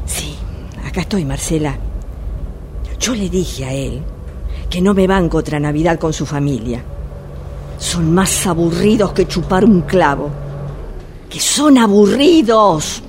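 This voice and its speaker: An actress playing an exasperated, sarcastic blind street vendor in a radio drama, with a Rioplatense Argentine accent. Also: female voice, on the low side, animated, measured pace, long pauses. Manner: complaining, sarcastic, emphatic. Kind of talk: radio drama monologue as phone call. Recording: loud subway train noise throughout. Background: subway ambience.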